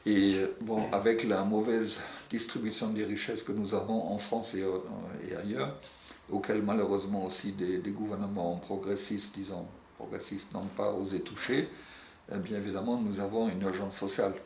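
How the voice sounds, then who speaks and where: A middle-aged man answering interview questions earnestly, often pausing on euh and restarting his sentences. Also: fairly low pitch, flat delivery, a little mellow. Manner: earnest, searching for words with frequent euh and restarts. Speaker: secretary general of a European civil society network. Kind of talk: interview answers on European social policy. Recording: echoey room with faint background noise.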